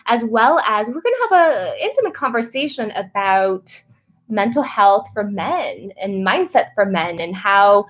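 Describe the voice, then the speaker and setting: A woman's voice: medium pitch, big pitch swings, a little slow. A woman from Korea hosting a casual livestream chat, upbeat and gushing, talking straight to viewers. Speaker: host, performance coach. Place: café in Seoul.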